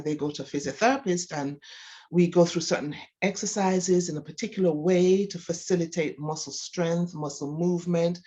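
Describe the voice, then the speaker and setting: A woman's voice: low-pitched, mellow. A woman with a Jamaican accent answering interview questions as a physiotherapist, explaining and talking about herself. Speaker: physiotherapist guest.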